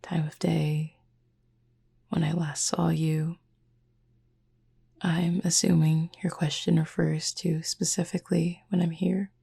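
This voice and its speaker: Woman acting a soft, gravelly, whispery cupid roommate. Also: low voice, little pitch movement, full character voice. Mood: calm, reflective. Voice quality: breathy, husky, near-whisper. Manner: measured, formal wording with long pauses. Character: gentle, reserved, formally spoken cupid roommate, devoted and quietly curious. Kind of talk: slow, soft-spoken sleep-aid audio roleplay.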